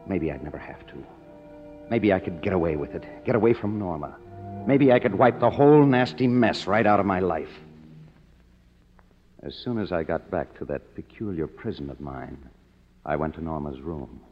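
An actor playing a cynical, self-reproaching young screenwriter, wry and hard-boiled, in a 1950s radio drama. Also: male voice, low voice, normal speed. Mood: brooding, wry. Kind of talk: 1950s radio drama, first-person narration and dialogue. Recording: muffled old radio broadcast with music.

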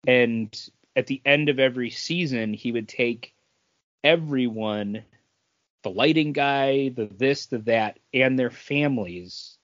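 A man's voice: medium pitch, takes it slowly, fairly bright tone, no room sound. A middle-aged man co-hosting a podcast, chatting casually about entertainment news in a rambling, anecdotal way. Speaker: podcast co-host. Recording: dull lo-fi sound.

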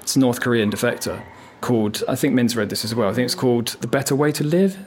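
A man's voice: medium pitch, fast, darkish tone, a little hiss or hum. Chatty, self-deprecating man in his thirties. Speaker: viola player. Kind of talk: casual interview chat.

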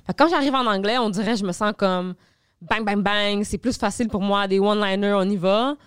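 A woman's voice: mid-range voice, a little fast, clear, resonant tone, no echo, clean studio sound. Québécois woman telling comedy stories. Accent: Québécois French. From Montreal, Quebec. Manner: lively storytelling, swearing, many fillers. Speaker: stand-up comedian and podcast guest. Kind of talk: casual podcast conversation.

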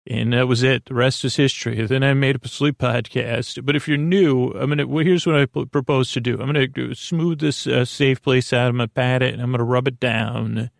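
Creaky gravelly guy doing a sleepy bedtime podcast ramble, stumbles and repeats himself, close dry mic, faint music. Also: medium pitch, steady tone, dry recording. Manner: meandering, hesitant, repeats and stumbles over words; lulling, gentle, drawn-out delivery. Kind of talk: rambling, soothing bedtime monologue. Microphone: close microphone.

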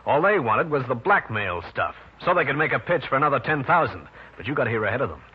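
Hard-boiled american detective, dry and unruffled, kinda rough voice, dull old radio show audio. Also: male voice, medium pitch, sing-song, fast. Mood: accusing, cool. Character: tough, wry male private detective. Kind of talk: 1940s or 1950s radio detective acting. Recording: muffled old radio recording.

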